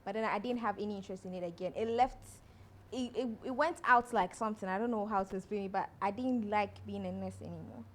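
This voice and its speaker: A woman's voice: medium pitch, fast, slight background noise. A young Ghanaian woman, an actress, chatting in an interview and telling stories with laughter. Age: young adult. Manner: laughing, animated storyteller. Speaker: actress guest. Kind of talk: casual interview chat.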